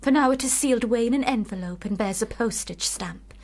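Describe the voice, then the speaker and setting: An actress in a period radio drama playing a lovelorn, sharp-witted sister, speaking with formal, deliberate, cutting irony. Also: female voice, fairly high pitch. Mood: mocking.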